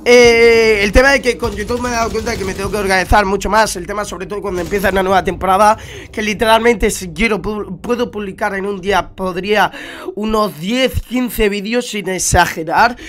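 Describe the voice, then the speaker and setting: A man's voice: high-pitched, fairly even intonation, fairly quick, fairly bright tone. A young man from Spain with a Spanish accent, a bit stuffed up with a cold, chatting slangily to his viewers while gaming at home on a headset mic. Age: young adult. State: congested with a cold. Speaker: gaming YouTuber. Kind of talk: casual gameplay commentary. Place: home room at a gaming setup.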